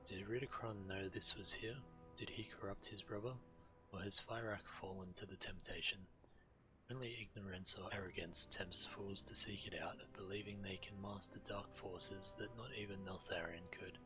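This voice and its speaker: An actor playing a grave, calculating dragon strategist in a fantasy game, speaking formally with measured brooding. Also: male voice, low-pitched, fairly even intonation, music in the background, lightly acted, rough recording. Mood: grave, urgent. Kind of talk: dramatic fantasy game dialogue.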